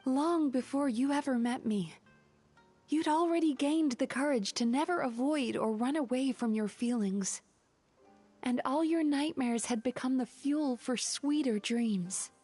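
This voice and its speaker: A woman playing a gentle, composed young psychologist and dream healer in a video game, speaking sincerely. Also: high voice, unhurried, husky, faint music behind. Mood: tender.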